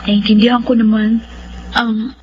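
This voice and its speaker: A woman's voice: a little high, flat delivery, measured pace, soft background music, lightly acted. A woman acting a shy, polite young provincial woman in a Filipino radio drama. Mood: polite, hesitant. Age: young adult. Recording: dull lo-fi audio with faint noise.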